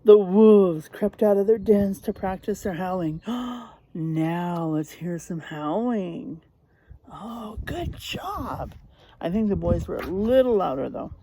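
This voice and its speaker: Unhurried, animated woman reading to children. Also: mid-range voice, a little hiss or hum. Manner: teacherly, prompting listeners with questions. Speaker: read-aloud storyteller for children. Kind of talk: expressive picture-book read-aloud with questions to children.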